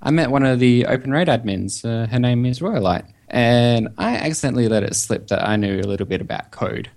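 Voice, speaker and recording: Australian male guest, mid-pitched voice, casual conversational interview answers. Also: a little mellow. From Perth, Western Australia. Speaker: podcast guest, website developer.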